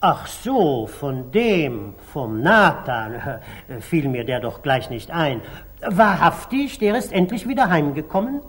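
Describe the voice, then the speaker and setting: An actor playing an excitable, blunt, grumbling dervish turned treasurer, agitated and theatrical in 1940s German radio drama style. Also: male voice, on the high side, takes it slowly. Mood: flustered, evasive. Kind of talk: 1940s German radio stage-classic acting.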